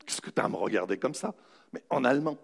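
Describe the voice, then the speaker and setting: A man giving a humorous, anecdotal motivational talk, telling his story with dramatic pauses. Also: mid-range voice, expressive, gravelly. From raised bilingual French-German, in a French-German family. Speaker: motivational speaker. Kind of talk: anecdotal public talk to an audience.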